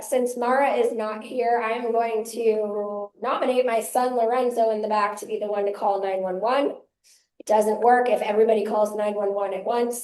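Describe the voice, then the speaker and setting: A hoarse woman, her voice worn by laryngitis, chairs a community meeting with brisk, practical announcements. Her voice sits fairly high with a mellow, soft tone. The sound carries echo from the meeting room. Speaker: chair and founder of a local activist group. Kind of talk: opening remarks at a member meeting.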